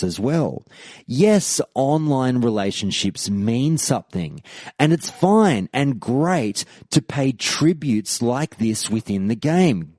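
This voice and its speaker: Podcast guy talking slow and measured, pitch all over the place, dry close sound. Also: warm tone, no room sound. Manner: measured, reads forum posts aloud. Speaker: podcast host. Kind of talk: scripted podcast commentary.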